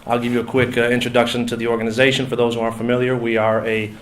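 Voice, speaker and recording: A congested man with a nasal voice and a Minnesotan accent speaks at a meeting in a mid-range pitch. His delivery is halting, full of ums and restarts, as he walks an audience through his work as an organizer. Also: monotone. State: congested from a cold. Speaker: coalition organizer. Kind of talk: conference talk. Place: foundation meeting room.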